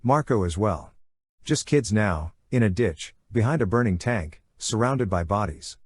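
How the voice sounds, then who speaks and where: A man reads a dramatic first-person story as an audiobook narrator. His voice sits fairly low and has a husky grain. The sound is very dry, with no room around it. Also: lively intonation, unhurried, dry recording.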